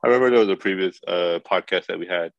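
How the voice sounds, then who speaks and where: A man's voice: deep voice, fast, creaky, no room sound, dull audio. A man co-hosting a podcast, chatting casually and teasing his friends with frequent laughs. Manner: joking, teasing, laughs often. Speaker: podcast co-host. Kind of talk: casual chat.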